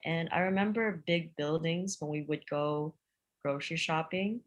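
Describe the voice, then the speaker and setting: A woman's voice: sits low, moderate pace. A woman in her late twenties from Georgia, a podcast guest telling about herself in a casual, chatty way. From Georgia, USA. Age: 20s. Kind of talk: casual chat.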